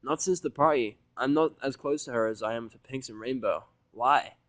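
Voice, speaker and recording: A man's voice: mid-range voice, moderate pace, a bit bright, dry recording, natural voice. A man voicing a laid-back, friendly female DJ cartoon pony with a casual, slangy way of talking.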